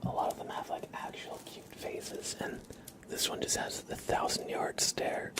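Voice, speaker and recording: A man hosting an ASMR livestream, chatting gently and haltingly to viewers in a soft, whispery murmur. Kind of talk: ASMR, casual chat with stream viewers.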